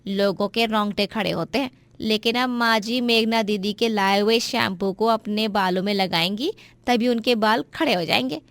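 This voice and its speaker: A woman's voice: mid-range voice, moderate pace, a bit bright, no echo, close to an everyday voice. Woman acting a scheming, spiteful young daughter-in-law. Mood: gloating. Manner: plotting, gloating. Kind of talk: Hindi moral story voice acting.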